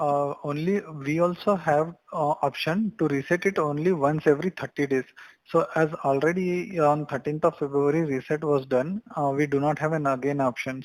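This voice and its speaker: A man's voice: a little high, dry recording, low-quality recording. A man in customer support explaining procedure on a service call. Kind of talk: phone customer service talk.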